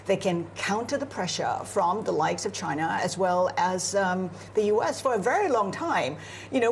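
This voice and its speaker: A woman's voice: mid-range voice, a little hiss or hum. A woman from Singapore, a news anchor, analysing world affairs in a live broadcast discussion with frequent fillers. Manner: fluent, analytical, many "you know" fillers. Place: on location in Beijing.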